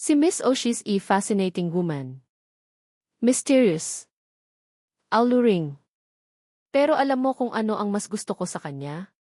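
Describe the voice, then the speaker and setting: Woman reading a charming male boss, measured, intimate, earnest, mid-pitched, dry. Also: very animated, warm tone, dry recording.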